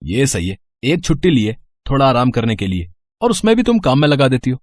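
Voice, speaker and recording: A man's voice: mid-range voice, sing-song, a little fast, no echo, close to an everyday voice. A man playing a tired husband and office worker in a cartoon story, easygoing and mildly complaining. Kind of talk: Hindi cartoon moral story acting.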